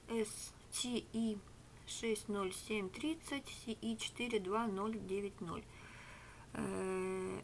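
A middle-aged woman whispers and murmurs in a sarcastic, rambling product review, her voice at an ordinary pitch. She is recorded close on a webcam in a small home room. A faint hum sits under her voice. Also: rough recording. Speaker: ASMR vlogger. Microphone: webcam at arm's length.

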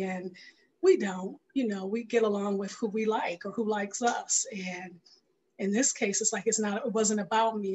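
A middle-aged woman giving reflective interview answers in a thoughtful way, pausing mid-phrase as she goes. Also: medium pitch, breathy edge, low-quality recording. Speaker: interview guest, filmmaker and radio show creator. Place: indoor room, video call. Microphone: headset on video call.

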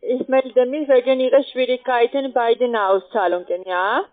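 A woman's voice: on the high side, fairly even intonation, moderate pace. A woman introducing herself on the phone as a call centre agent. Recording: thin narrow phone line.

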